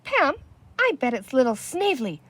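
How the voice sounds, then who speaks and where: Storybook girl, played by a woman: bossy, exasperated, firm scolding delivery, highish and animated. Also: moderate pace, breathy edge, full character voice. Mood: realizing. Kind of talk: children's storybook character voice.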